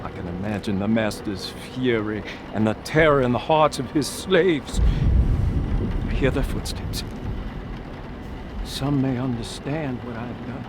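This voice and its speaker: A man acting a doomed, remorseful figure in a horror game, speaking gravely and theatrically in a deliberate monologue. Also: medium pitch, heavy background noise, lightly acted. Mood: grim resignation. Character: doomed, remorseful man facing evil forces.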